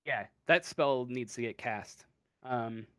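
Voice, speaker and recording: A game master improvising narration for a tabletop roleplaying game, pausing often to think. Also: medium pitch, fairly bright tone, clear, resonant tone, no echo, studio quality.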